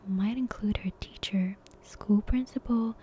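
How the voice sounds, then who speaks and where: Woman, breathy ASMR whisper, mellow tone, gentle measured storytelling, close mic, faint ambience behind. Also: mid-range voice, a little hiss or hum. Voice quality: soft, breathy unvoiced whisper. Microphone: close ASMR microphone. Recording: clear background music and ambience. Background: soft game soundtrack music, ambience.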